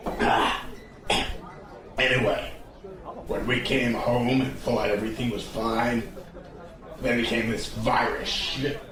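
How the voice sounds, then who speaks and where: Man playing cynical, war-weary soldier, slow and low, sardonic and blunt, audio drama pub scene with crowd chatter. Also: flat delivery, natural voice. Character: world-weary cynical male soldier back from border duty. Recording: distant, very echoey, crowd noise behind.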